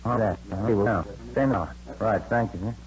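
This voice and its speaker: An actor playing a plain-spoken American police detective partner in a radio drama, reporting in a terse, matter-of-fact way. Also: male voice, on the low side, normal speed, crowd noise, natural voice. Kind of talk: 1950s radio drama acting. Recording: muffled old radio recording, faint hum.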